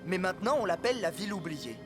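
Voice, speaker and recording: A man's voice: sits high, big pitch swings, quick pace. A man playing an earnest, curious young football player in a cartoon, eager and wondering in manner. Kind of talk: dubbed cartoon dialogue. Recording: music behind the voice.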